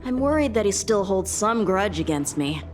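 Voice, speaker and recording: A woman's voice: mid-range voice, big pitch swings, normal speed, darkish tone, close to an everyday voice. A woman voicing an earnest, eager young warrior in a fantasy game, polite but informal and candid. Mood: humble, eager. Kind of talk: scripted game voice acting. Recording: background music.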